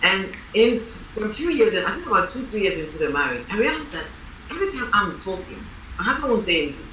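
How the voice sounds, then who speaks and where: A woman reverend leads a panel talk with a loud, strong voice and a bright edge. She tells her stories emphatically. The mic sits far from her in a roomy hall with faint background noise. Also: mid-range voice, big pitch swings, brisk. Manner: emphatic, storytelling. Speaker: reverend leading a panel on marriage. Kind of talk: panel discussion.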